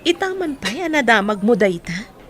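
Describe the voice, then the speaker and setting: A woman's voice: a little high, very animated, moderate pace, fairly bright tone. A woman with an Ilocano accent playing a patient elder sister in a radio drama. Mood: patient, evasive.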